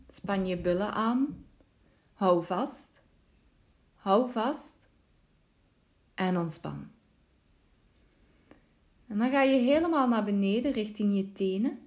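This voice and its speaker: A woman guides a deep relaxation session at a very unhurried pace. Her voice sits a little high for a woman. She gives calm, soothing instructions in the measured manner of a meditation teacher. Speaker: yoga nidra instructor.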